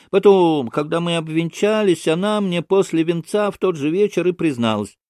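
A man voicing an obsequious, giggly old provincial gentleman in a storybook, telling tales in drawn-out, servile phrases. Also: a little high, no room sound, clean studio sound, pitched above the performer's own voice.

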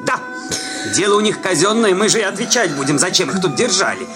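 An actor playing a jealous, grumbling village sexton who nags in folksy, church-tinged speech in a radio play. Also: male voice, high-pitched, lively intonation, fast. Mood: nagging, impatient. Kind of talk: Russian radio play acting. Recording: loud music behind the voice.

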